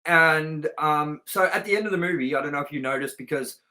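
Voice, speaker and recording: A man's voice: fairly high pitch, a little fast, sharp tone. A man co-hosting a film review show, chatting casually and enthusiastically with informal, sweary language.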